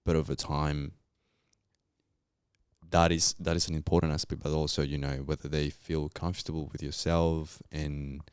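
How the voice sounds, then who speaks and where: A man's voice: a bass voice, flat delivery, moderate pace, a little mellow, clean tone, no room sound, clean studio sound. A young man from Colombia with a Colombian Spanish accent, a podcast guest telling his story in a reflective, casual way with lots of fillers. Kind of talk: casual interview chat.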